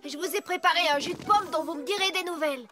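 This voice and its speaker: A woman's voice: very high-pitched, fast talker, pushed and bright. A woman playing a stubborn, proud young farm pony in a cartoon dub, blunt, folksy and bossy. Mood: humbled, friendly. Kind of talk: animated cartoon dub acting. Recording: music behind the voice.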